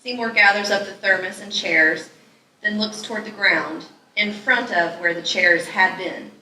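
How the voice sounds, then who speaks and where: A woman's voice: mid-range voice, measured pace, pushed and bright. A woman reading scripted stage directions as narrator at a live table read. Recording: echoey room, distant mic, noisy.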